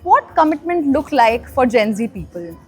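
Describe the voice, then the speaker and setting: A woman's voice: high voice, big pitch swings, normal speed, darkish tone, slightly rough. A young woman with an Indian accent hosting playful street interviews, echoing answers with exclamations. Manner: reacts with exclamations and echoes answers. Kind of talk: playful vox-pop interviewing. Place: busy market street, outdoors. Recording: music behind the voice.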